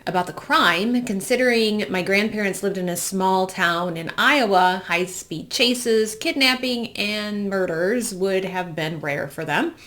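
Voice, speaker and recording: A woman's voice: on the low side, a bit bright. An American woman in her forties from the Midwest, hosting with a personal, reflective monologue. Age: 40s. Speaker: host.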